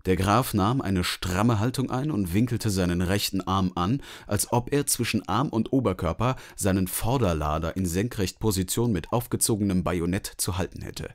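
Low male voice, narrator reading a novel, scripted storytelling. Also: normal speed, hardly any pauses.

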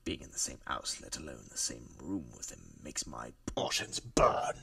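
A man voicing a bitter, hot-tempered alien houseguest in a theatrical, seething, raspy voice for an audio drama. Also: sits low, wildly swooping pitch, normal speed, bright, forceful tone, no echo, distant mic, heavily acted. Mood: bitter, indignant. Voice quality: raspy, gravelly.

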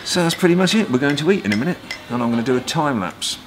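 A man's voice: medium pitch, expressive, very fast, loud music behind the voice. A British man in his forties, a vlogger talking casually to camera in an emphatic, wry, explanatory way. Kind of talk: casual vlog chat.

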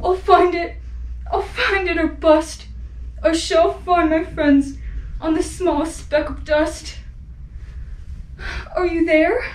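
A woman's voice: very high voice, steady tone, takes it slowly, long pauses, far from the mic, voice pushed up. A woman voicing a kind, steadfast male elephant who speaks earnestly and firmly. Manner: earnest and firm. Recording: roomy echo.

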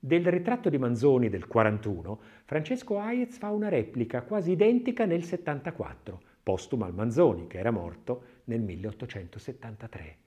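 A man narrating a scripted audiobook in an expressive, literary, dramatic reading style. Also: medium pitch, moderate pace, hardly any pauses.

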